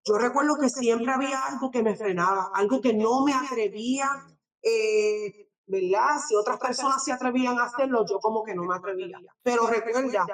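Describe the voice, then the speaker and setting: A middle-aged woman from Puerto Rico with a Puerto Rican accent, giving a halting religious testimony that is full of fillers as an interview guest. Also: fairly high pitch, far from the mic. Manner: halting, often tags sentences with a questioning filler. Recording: echoey room, speaker away from the mic.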